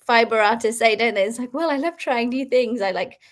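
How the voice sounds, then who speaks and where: A woman's voice: fairly high pitch, a little fast. A woman in her thirties who grew up in Belgium, chatting casually and laughing often as an interview guest. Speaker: guest crochet designer.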